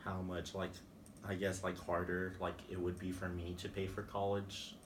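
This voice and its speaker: A man's voice: low voice, monotone, normal speed. A young man, a native Spanish speaker with a Mexican Spanish accent, answering interview questions casually and hesitantly, with lots of "like". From Texas. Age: young adult. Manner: rambling, many "like" fillers, hesitant. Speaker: interviewee, college student. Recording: distant mic, noisy room.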